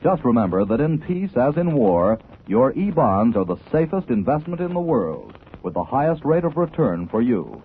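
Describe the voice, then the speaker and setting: A man's voice: medium pitch, sing-song, moderate pace, hardly any pauses. An American man announcing in formal, emphatic 1940s scripted radio style. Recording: narrow-band 1940s radio recording, faint noise.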